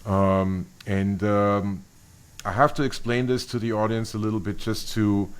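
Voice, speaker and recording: A middle-aged man from Austria, a bassist being interviewed, speaking thoughtfully with many restarts and fillers. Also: level tone, unhurried, smooth. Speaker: bassist guest. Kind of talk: interview conversation.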